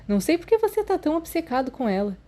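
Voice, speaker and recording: An offhand Brazilian teenage schoolboy in an audiobook, played by a woman. He is cocky but friendly and teasing, with slangy speech and a mellow tone. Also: high voice, very animated, fast, pitched above the performer's own voice. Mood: advising.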